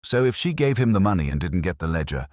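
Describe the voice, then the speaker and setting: A man voicing a mild, dry-witted police inspector who speaks in a calm, measured, wry way, recorded close on a studio mic. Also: sits low, no echo.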